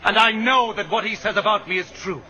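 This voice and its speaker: An actor playing Jesus as a fervent preacher challenging unbelievers in a film, emphatic and declamatory. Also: male voice, sits high, fairly quick. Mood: earnest. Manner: declamatory, emphatic, swinging from hushed to shouting. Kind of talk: dramatic film acting of scripture. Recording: muffled film soundtrack with loud noise.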